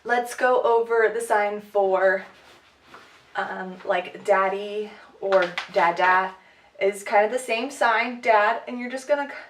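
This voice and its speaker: A woman with a cold, a bit short of breath, teaching a casual sign language lesson with lots of ums and light laughs. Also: fairly high pitch. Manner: chatty, many "um"s, light laughs. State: sick, sniffly, short of breath. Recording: echoey room, far from mic.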